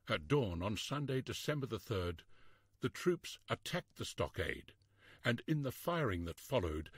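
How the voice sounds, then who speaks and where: A man reading a history storybook aloud in a measured, expressive, scripted narration style. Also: mid-range voice, breathy edge, dry recording, clean studio sound.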